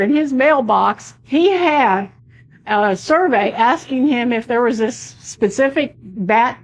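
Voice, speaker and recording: A woman's voice: on the high side, rough recording. A woman losing her voice as she talks at a public meeting in a conversational way, full of fillers.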